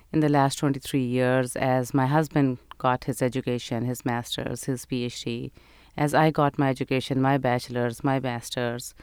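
A woman's voice: rumbling low, darkish tone, clear, resonant tone, dry recording. A woman, telling her story earnestly as an interview guest. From Pakistan. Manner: earnest, measured storytelling with fillers. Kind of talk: interview answers.

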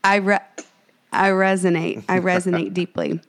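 A woman's voice: lowish voice, little pitch movement, normal speed, vocal fry. A woman co-hosting a faith podcast, speaking earnestly and fervently, slipping into a preachy tone with many restarts. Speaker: podcast co-host.

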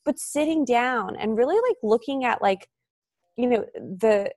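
A woman's voice: fairly high pitch, expressive, a little slow, darkish tone, no echo, clean studio sound. Chatty, reflective woman guest. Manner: reflective, many "like" fillers, upbeat. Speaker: interview guest, author. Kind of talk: casual podcast conversation.

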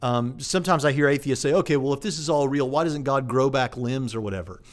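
A man's voice: mid-range voice, fast talker. A man, a preacher and channel host, talking casually about faith and evidence, with frequent fillers and restarts. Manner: conversational with many fillers and restarts. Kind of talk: casual apologetics talk to camera.

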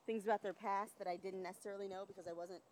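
A woman's voice: mid-range voice, steady tone, racing, darkish tone. A woman hosting a podcast, chatting casually with her guest while out walking outdoors. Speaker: podcast host, interviewer. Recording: outdoor recording with faint background noise.

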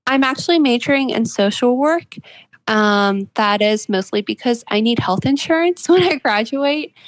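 A woman's voice: fairly high pitch, expressive, moderate pace, no room sound. A woman of about twenty from West Virginia with an American accent, speaking earnestly and agreeably as a guest author in a conversational interview. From West Virginia, United States.

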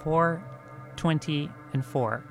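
A man's voice: on the high side, slow pace, music in the background. A man chatting casually as a player in a tabletop roleplaying game. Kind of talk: casual chat during a game.

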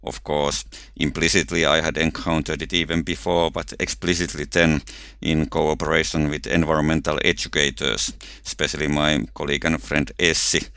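A man's voice: extremely low pitch, level tone, moderate pace, vocal fry, bright, forceful tone, clear, resonant tone, no room sound, clean studio sound. A man with a Finnish accent, a native Finnish speaker, explaining ideas carefully in a podcast conversation, often restarting his words. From Finland. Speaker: researcher and guest.

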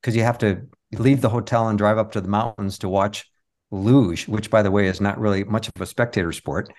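A man's voice: on the low side, steady tone, brisk, a little mellow. A man speaking as an interview guest, telling anecdotes and explaining his work in a casual, conversational way. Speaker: interview guest, performing magician.

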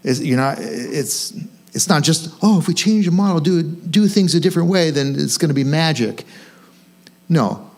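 A man's voice: a little high, lively intonation, normal speed, darkish tone. Conversational male pastor preaching informally. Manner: conversational, self-questioning, emphatic on key points. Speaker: senior pastor. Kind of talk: informal sermon to own congregation.

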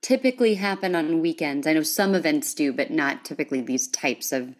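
A woman's voice: sits low, expressive, fairly quick. A woman hosting a podcast, speaking casually and chattily in a conversational interview. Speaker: podcast host.

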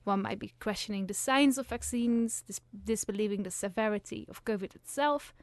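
A woman's voice: medium pitch, normal speed, no echo. A young woman in her twenties from the Netherlands hosts a student radio show, reading a scripted intro with small slips. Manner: reads from a script, some hesitations. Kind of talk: scripted intro and casual chat.

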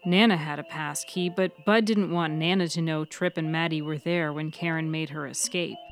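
A woman reading a serial fiction story as its narrator, in measured, clearly phrased storytelling. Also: low voice, steady tone, soft background music. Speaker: author and narrator of a fiction podcast. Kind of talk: scripted audiobook-style story narration.

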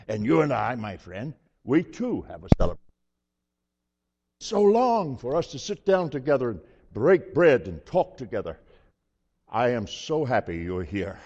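A hearty, hospitable old priest, played by a man in a stage monologue, chuckling as he talks. His voice swings widely in pitch. It rings out in a reverberant hall with a slightly dull, muffled sound. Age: older. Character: jovial elderly male priest hosting a friend. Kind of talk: dramatic monologue.

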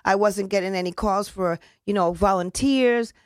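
A woman's voice: mid-range voice, normal speed, no echo. Earnest rambling woman, dry close sound. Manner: rambling and earnest, with frequent restarts and casual slang. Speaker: community event organizer. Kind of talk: casual interview talk.

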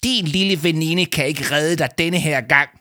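A man voicing a pompous, furious middle-aged male official who shouts and rants in a shrill voice. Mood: raging. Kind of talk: audiobook character voice.

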